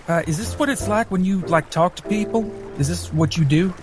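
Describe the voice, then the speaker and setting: A man's voice: sits high, brisk, music in the background, close to an everyday voice. A man playing a confused, laid-back adventurer in improvised tabletop roleplay, speaking in hesitant, casual bursts.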